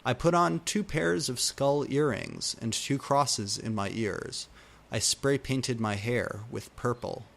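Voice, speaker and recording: A man giving a comedic dramatic reading of fan fiction, deadpan and theatrical with drawn-out emphasis. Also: mid-range voice, gravelly. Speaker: fanfiction reader.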